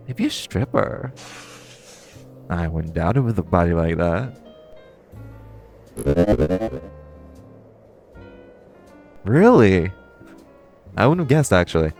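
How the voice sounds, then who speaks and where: Man acting as a supervillain thief, deep male voice, flirty, cocky, casual teasing, audio roleplay, background music. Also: vocal fry, a little mellow, full character voice. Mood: playful, flirty. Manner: casual, teasing, conversational with playful pauses. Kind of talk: intimate audio roleplay addressed to the listener.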